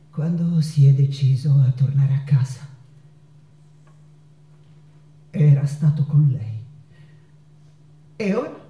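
A woman playing a passionate, wounded Mexican painter in a theatrical monologue, with halting, drawn-out phrases. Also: sits low, dark tone, dull audio. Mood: bitter, low. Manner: dramatic, halting delivery with drawn-out phrases and repetitions. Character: passionate, wounded Mexican woman painter, wife remembering her unfaithful husband. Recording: roomy echo with guitar music. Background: acoustic guitar music.

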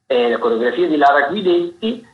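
A man hosting a radio programme, reading out listings informally in a halting, digressive way and often correcting himself. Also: fairly high pitch, big pitch swings. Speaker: radio host presenting theatre listings. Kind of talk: informal spoken radio listings of upcoming shows. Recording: roomy, slightly dull radio broadcast sound.

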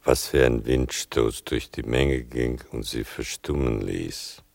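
An older man narrating a literary radio play in a deliberate way, with dramatic pauses. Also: rumbling low, vocal fry, a little mellow, no room sound.

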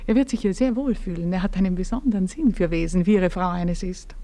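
A woman playing a composed married woman speaking to an old friend in measured tones in a radio play. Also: on the low side, mellow, natural voice. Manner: measured, sometimes whispered confidences.

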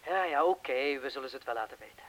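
Een acteur die in een hoorspel een kille, ongeduldige, sarcastische inbreker speelt, die bruusk praat. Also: male voice, medium pitch, expressive, fast talker, a bit bright, distant mic, lightly acted. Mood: impatient. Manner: brusque, sarcastic. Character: callous, impatient burglar, sarcastic and self-protective. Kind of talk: Dutch-language radio drama acting.

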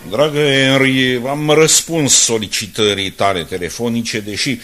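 A man's voice: medium pitch, steady tone, music in the background, close to an everyday voice. A man playing a busy, reluctant bank director in a radio drama, formal and politely evasive.

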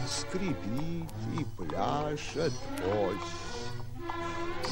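An actor voicing a weary, nostalgic old stagecoach with a sighing, plaintive delivery in a 1940s radio play. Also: male voice, a little high, heavily acted. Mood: mournful singing. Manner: sighing, drawn-out, lamenting delivery. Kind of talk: 1940s radio play acting, partly sung. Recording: 1940s radio recording with music.

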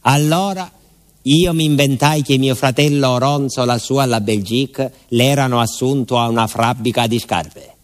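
A man acts out a simple southern Italian village postman in a radio drama. He has a medium-pitched voice and a folksy, confiding way of telling things, full of hesitations and repeated words, naive and wistful. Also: normal speed, natural voice. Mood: animated, confiding. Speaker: actor in a monologue. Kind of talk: theatrical radio monologue in colloquial storytelling.

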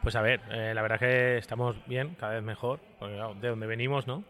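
A man's voice: mid-range voice, steady tone, a little fast, fairly bright tone. A man, a bookshop owner answering conversationally in an interview.

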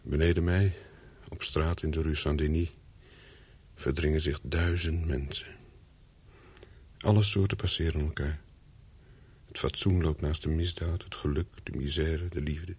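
An actor playing a lonely, brooding man who tells his own story in a radio play, deliberately and slowly. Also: extremely low pitch, fairly even intonation, a little mellow, slightly rough, exaggerated voice. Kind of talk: radio play monologue and dialogue.

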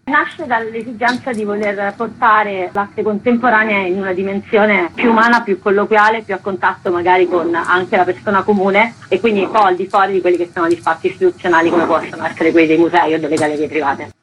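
A woman's voice: mid-range voice, fairly even intonation, a little fast, hardly any pauses, a bit bright. A woman explaining her work as an exhibition founder in a radio interview. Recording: clearly noisy ambient background.

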